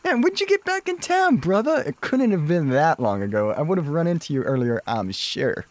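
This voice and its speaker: An actor playing an effusive, laid-back hippie dude in an audio drama, gushing in drawn-out bro talk. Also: male voice, a little high, sing-song, no room sound. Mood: excited, effusive.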